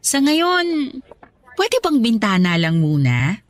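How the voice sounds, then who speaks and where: A woman's voice: a little high, huge pitch swings, slow, full character voice. An actress playing a playful but guarded woman talking with an old sweetheart in a romantic radio drama. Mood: guarded, tender.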